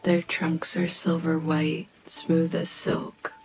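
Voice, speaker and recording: Female sleep-story narrator: low, flat-toned, soothing and hushed, whispery at phrase ends, guiding the listener gently. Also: takes it slowly, soft background music, rough recording. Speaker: host and narrator of sleep meditation stories. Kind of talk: slow scripted sleep meditation narration.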